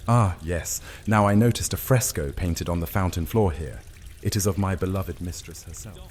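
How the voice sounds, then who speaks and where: An actor playing a suave, predatory vampire in an audio drama, speaking in a theatrical, courtly manner. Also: male voice, deep voice, normal speed, slight background noise, natural voice. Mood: silky, predatory. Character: suave, menacing male vampire hunter, loyal to his mistress.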